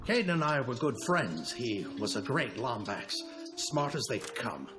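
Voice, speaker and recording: A man voicing a dignified older alien general and mentor in a video game cutscene, speaking in a formal, measured way. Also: medium pitch, big pitch swings. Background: game score music.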